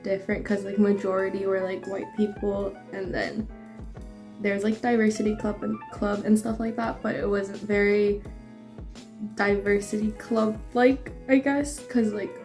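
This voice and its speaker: A young woman shares her thoughts hesitantly in a casual chat. Her pitch barely moves, and she fills her pauses with "like". Faint music sits behind her. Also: little pitch movement, a little mellow. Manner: hesitant, many "like" fillers.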